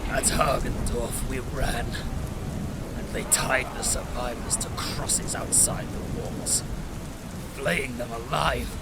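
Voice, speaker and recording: A man's voice: medium pitch, very noisy. An actor playing a fierce, commanding Imperial knight captain who rallies his troops in a fantasy audio drama. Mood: grim, brooding. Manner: commanding, declamatory battlefield oratory.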